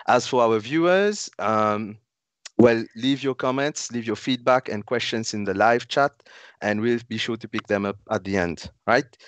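A young man hosting an online developer conference, talking in a relaxed, chatty way with many fillers. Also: on the low side, unhurried, no room sound. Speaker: conference host. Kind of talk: casual event hosting. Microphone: headset on video call.

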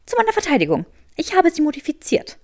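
A woman's voice: very high-pitched, huge pitch swings, fast, no room sound, clean studio sound, voice pushed up, full character voice. A woman voicing a clever, earnest and determined young witch, explaining eagerly in an audiobook. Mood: eager, explanatory.

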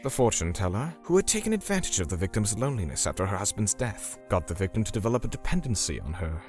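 Low, grim man acting as a vengeful torturer. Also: sing-song, quick pace, a little mellow. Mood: sombre. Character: grim, self-righteous male torturer seeking vengeance for victims. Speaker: narrator. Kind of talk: dramatic manga dub narration. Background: music.

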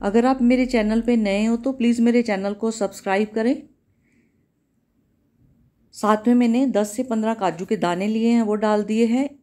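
A woman hosting a home cooking channel talks through each step of a recipe in a calm, instructive way, often repeating herself. Her voice sits a little high but sounds warm and mellow. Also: normal speed. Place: home kitchen.

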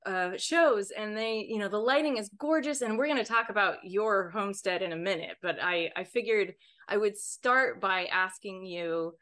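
A woman's voice: medium pitch, moderate pace, fairly bright tone. A woman hosting a casual gardening podcast interview, friendly, with frequent laughs and fillers. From Southern California. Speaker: podcast host.

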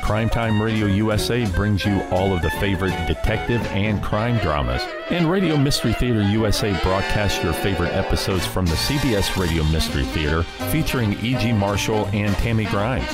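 A man's voice: fairly low pitch, expressive, a little fast, few pauses. A man hosting a podcast, reading a scripted outro with promotional announcements. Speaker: podcast host. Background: loud music bed.

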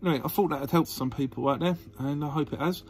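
A man's voice: a little high, fast, darkish tone. A man giving a casual how-to demonstration as a channel host, rambling and hesitant, often repeating himself. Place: indoors at a workbench.

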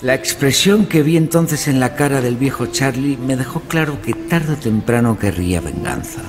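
A man playing a clever, self-assured gentleman who explains and narrates in a formal, measured style for an audio drama. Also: medium pitch, expressive, music in the background, natural voice. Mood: earnest, indignant.

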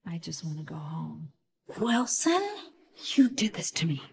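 A woman's voice: deep voice, lively intonation, measured pace, vocal fry, a little mellow, heavily acted. Earnest young woman in an echoing hall. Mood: tentative, then angry. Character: young woman trapped in an inky cartoon world, earnest, determined. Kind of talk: video game voice acting. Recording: echoey hall reverb.

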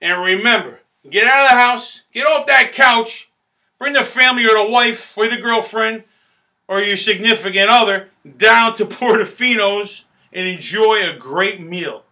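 Middle-aged New man, high and sharp-toned, pushy pitchman energy for a home-made food review show. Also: unhurried. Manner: loud, boisterous, salesman-like, repeats himself. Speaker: food critic host. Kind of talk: restaurant review to camera. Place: small indoor studio in front of a banner backdrop.

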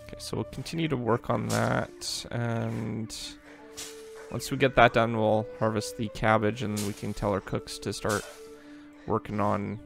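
A man hosting a casual let's play, talking in a relaxed, rambling way with lots of fillers while losing his voice. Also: lowish voice, a little slow, creaky, over music. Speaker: gaming channel host.

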